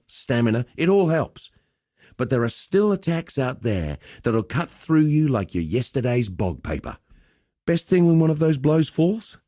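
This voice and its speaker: An actor playing a roguish, cheerful veteran pit fighter in a video game, talking in a colloquial, wry, swaggering storyteller's way. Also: male voice, mid-range voice, dark tone, no room sound, clean studio sound. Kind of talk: scripted game dialogue.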